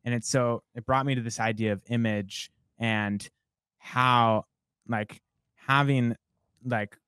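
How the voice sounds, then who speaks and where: A young man, a songwriter guest, talking in a relaxed and reflective way, rambling with many fillers. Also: mid-range voice, takes it slowly, smooth, dry recording, clean studio sound. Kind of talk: casual interview conversation.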